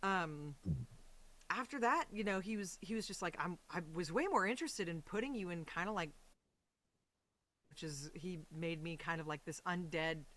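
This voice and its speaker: A woman's voice: fairly low pitch, expressive, moderate pace, fairly bright tone. A woman talking casually as an interview guest on a podcast, effusive and chatty, with slangy quoted asides.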